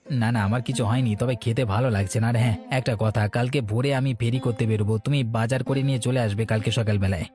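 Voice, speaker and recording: A man's voice: medium pitch, fairly even intonation, quick pace, dark tone, close to an everyday voice. A man playing a harried, grumbling poor village peddler husband in a cartoon, complaining emphatically. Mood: weary, plain. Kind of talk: cartoon voice acting, folk-tale dialogue.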